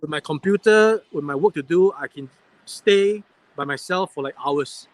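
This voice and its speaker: A man with a fairly high voice hosts a relaxed live stream conversation. He is inquisitive and chatty, steering his guests with questions. He talks in an easy, unscripted way. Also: moderate pace, dry recording. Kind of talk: casual interview chat. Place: airport waiting area at night.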